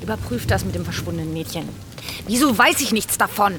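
A woman's voice: medium pitch, lively intonation, a little fast, background noise, natural voice. A woman playing an irritable, determined police investigator, curt and exasperated, in a radio crime drama.

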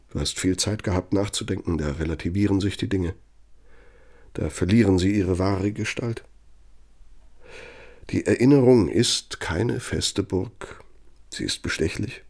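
An actor playing an embittered, loyal old aide to an architect in a radio play, confiding and sardonic, speaking deliberately with pointed pauses. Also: male voice, on the low side, vocal fry, a little mellow. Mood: pointed, knowing. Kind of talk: German radio play monologue.

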